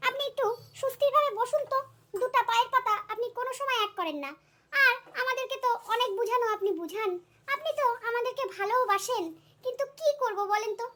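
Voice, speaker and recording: A very high, sharp-toned cartoon voice of an elder daughter-in-law played by a woman. She sounds like the overworked one of the household, grumbling in a long-suffering way yet forgiving at heart. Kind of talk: comic cartoon dialogue.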